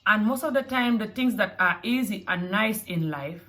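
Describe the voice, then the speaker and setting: A woman's voice: mid-range voice, animated, moderate pace, fairly bright tone. A mother with a mixed African and Scottish accent, speaking in an earnest motivational talk to camera and often repeating phrases. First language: not English. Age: adult mother. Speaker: vlogger.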